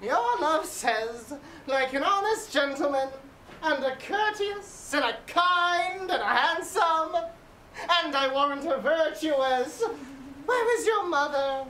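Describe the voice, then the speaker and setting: A woman's voice: sits high, lively intonation, measured pace, a bit bright. A woman playing a fussy, bawdy old nurse on stage in Shakespeare, rambling, groaning and teasing. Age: older. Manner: rambling, exclaiming, theatrical groaning and chuckling. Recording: echoey room, distant mic, faint noise.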